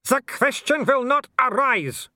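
A man's voice: sits high, animated, measured pace, fairly bright tone, no echo, clean studio sound, voice pushed up. A man voicing a menacing, haughty werewolf aristocrat who taunts in formal, grandly scornful phrases in an audiobook story. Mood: scornful anger. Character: haughty, menacing male werewolf pack leader, foreign aristocrat.

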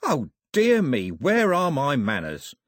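A man's voice: fairly high pitch, wildly swooping pitch, takes it slowly, no echo, studio quality, voice pushed up, exaggerated voice. Man voicing a swaggering villain, swooping and jeering. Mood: theatrical, jovial. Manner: theatrical, jeering, colloquial patter. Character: swaggering villainous sailor, mockingly cheerful murderer turned king.

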